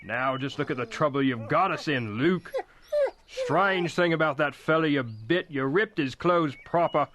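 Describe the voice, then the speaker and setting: An actor playing a gossipy, grumbling working-class country carter with folksy speech in an audio drama. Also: male voice, a little high, unhurried, a crowd in the background, lightly acted. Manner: folksy, grumbling, dialect grammar. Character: gruff rustic male carter, gossipy and grumbling, older working man.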